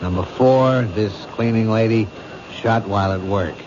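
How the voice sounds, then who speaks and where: An actor playing a world-weary police captain in a 1970s radio drama, brusque, cynical and matter-of-fact. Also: male voice, fairly low pitch, unhurried, natural voice. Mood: dismissive. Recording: muffled, noisy old radio broadcast.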